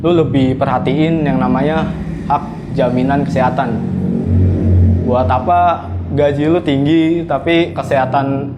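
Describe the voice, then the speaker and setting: Casting: man, early twenties, ordinary mid pitch, casual interviewee, noisy recording. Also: fairly even intonation, unhurried, lo-fi sound. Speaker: interviewee, young worker. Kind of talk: casual street-style interview answers.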